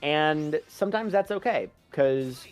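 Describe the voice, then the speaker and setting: A mid-pitched voice in casual livestream talk, emphatic and blunt. Music plays behind the conversation. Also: animated, takes it slowly. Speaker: fandub voice performer on a livestream. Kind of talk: casual chat. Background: background music.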